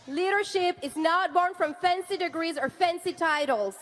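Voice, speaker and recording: A woman's voice: very high voice, moderate pace, a bit bright. A woman in her thirties from Venezuela, raised in Canada, giving a rousing political rally speech with rhetorical build-ups. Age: 30s. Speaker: rally speaker, wife of a party leader.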